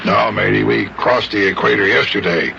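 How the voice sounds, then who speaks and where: A man playing a gruff, salty old ship's captain with nautical pirate-style talk in an old children's radio serial. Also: fairly low pitch, moderate pace. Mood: gruff, hearty. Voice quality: gravelly. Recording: muffled, noisy old radio recording.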